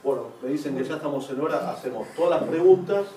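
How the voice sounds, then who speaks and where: A man's voice: fairly high pitch, quick pace, noisy background. A man informally moderating questions at a public forum. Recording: distant echoey room, loud crowd murmur.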